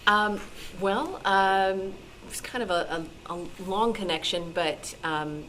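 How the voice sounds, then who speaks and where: A woman's voice: medium pitch, takes it slowly, fairly bright tone, a little hiss or hum, rough recording. A woman talking informally at a club meeting, modest and hesitant as she explains things.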